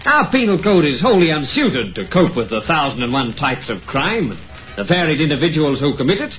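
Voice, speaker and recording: A man's voice: fairly high pitch, very animated, fairly quick, slight background noise. A man playing an earnest, principled young lawyer in an old radio drama, polite and formal in diction. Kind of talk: 1930s radio acting. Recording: muffled old radio recording.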